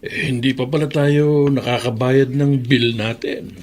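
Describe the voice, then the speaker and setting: An actor playing a kind old father living on his pension, who talks gently and coaxingly and chuckles. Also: medium pitch, fairly even intonation, unhurried, natural voice. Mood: reassuring. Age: older.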